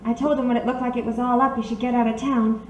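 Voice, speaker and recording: A woman's voice: a little high, flat delivery, fast, close to an everyday voice. A woman playing a composed, resigned character in an old-time radio drama. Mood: calm, resigned. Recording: echoey, distant, noisy.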